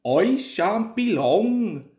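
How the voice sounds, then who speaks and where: A woman's voice: mid-range voice, big pitch swings, extremely slow, mellow. A woman voicing an earnest, eager male steam engine who talks politely and simply in a children's storybook. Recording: echoey room.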